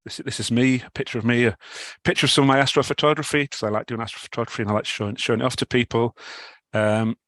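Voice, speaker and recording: A middle-aged British man with a cold, giving a talk over a video call, halting with many restarts. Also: mid-range voice, level tone, a bit bright, no room sound. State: has a cold, clears throat. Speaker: guest speaker. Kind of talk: online talk presentation.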